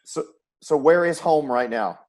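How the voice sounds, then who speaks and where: A man's voice: a little high, a little slow, a little mellow. An American man working as a sports broadcaster, hosting an interview show with introductions and questions.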